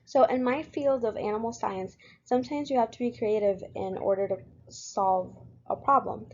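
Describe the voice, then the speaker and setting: A young woman from Puerto Rico, a college student, reading a class presentation in a steady, earnest way with a few fillers. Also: a little high, moderate pace, muffled recording, a little hiss or hum. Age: young adult.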